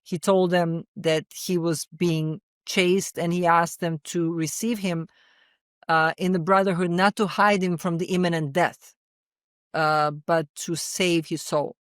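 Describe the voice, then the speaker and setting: A woman's voice: lowish voice, fairly even intonation, a little slow, dry recording, studio quality. A woman from Serbia with a Serbian accent, a filmmaker being interviewed. She often hesitates, restarts and repeats words. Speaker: filmmaker guest.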